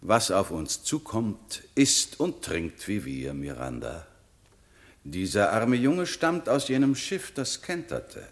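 An actor playing a stern yet tender older magician father, declaiming with measured authority in a classic radio drama. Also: fairly low pitch, exaggerated voice.

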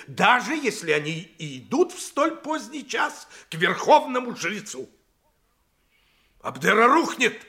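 A man's voice: sits high, bright, forceful tone, heavily acted. An actor playing a pompous, bombastic male orator, declaiming indignant accusations in theatrical audio drama style. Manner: pompous, declamatory, rhetorical questions. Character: bombastic male orator haranguing city fathers with scandalous accusations.

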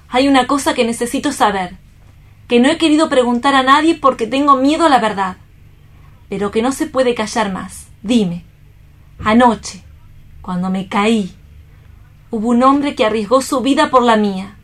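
Woman acting a young lady in love, radio drama, kinda high voice, earnest, full of remorse and anguish. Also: close to an everyday voice. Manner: earnest, melodramatic, deliberate phrasing. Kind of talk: staged radio theatre reading.

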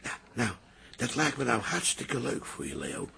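An actor playing an excitable older father in a comic radio drama, exclamatory and expressive. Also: medium pitch, unhurried, creaky, slight background noise, heavily acted. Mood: coaxing. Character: excitable older father, a keen amateur Sinterklaas.

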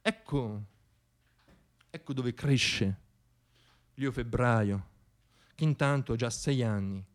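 A man's voice: mid-range voice, takes it slowly. A man performing a satirical radio theatre monologue in a dramatic, ironic storytelling manner. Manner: dramatic, ironic, shifting between hushed and declaimed.

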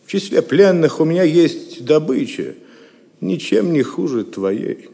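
A man's voice: on the high side, sing-song, measured pace, gravelly, dark tone, full character voice. A man acting a cynical, greedy, boastful Norman knight in an audio drama, with a sardonic manner. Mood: sardonic relish. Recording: reverberant hall-like sound.

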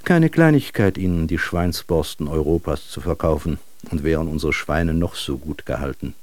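A man's voice: a bass voice, lively intonation, normal speed, dry recording. A man acting in a literary German radio drama as a wry, world-weary travelling bristle salesman, narrating in a reflective way. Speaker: narrator and lead actor.

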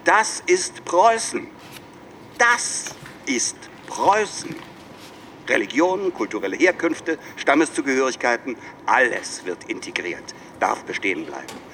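A man's voice: mid-range voice, big pitch swings, measured pace, pushed and bright, heavily acted. A man in a German radio drama playing a middle-aged lawyer who speaks in a pompous, rhetorical, self-important manner. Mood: declaiming. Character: pompous, eloquent lawyer championing old Prussia. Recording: clear background noise in a moving car. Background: vehicle noise.

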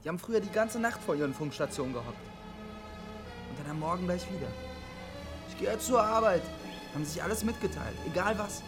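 An actor in a German radio drama playing an awkward, defensive loner in his twenties, halting and stubborn as he talks on CB radio. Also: high voice, expressive, music in the background. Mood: hesitant. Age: 20s.

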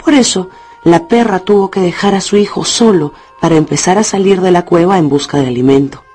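A woman narrating a radio novel as an expressive, dramatic storyteller. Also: fairly low pitch, brisk, slightly rough, soft background music.

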